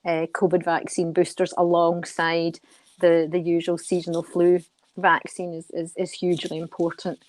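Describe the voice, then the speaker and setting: A middle-aged woman answers radio interview questions in a low voice that stays very even. She is measured and earnest, often pausing to repeat a word before carrying on. The sound is dry and close, with little room around it. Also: no echo. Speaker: guest, care charity board member.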